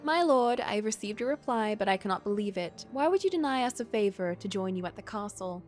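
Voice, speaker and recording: A woman's voice: medium pitch, animated, a little fast, a little mellow, faint music behind, close to an everyday voice. A woman voicing a lord's aggrieved mistress in a visual novel, pleading formally and earnestly. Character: aggrieved mistress, mother of an illegitimate child, pleading.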